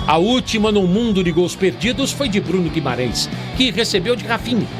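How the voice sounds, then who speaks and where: A man's voice: sits high, expressive, a little fast. A man narrating a scripted sports news report with emphatic delivery. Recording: voice-over with loud music bed.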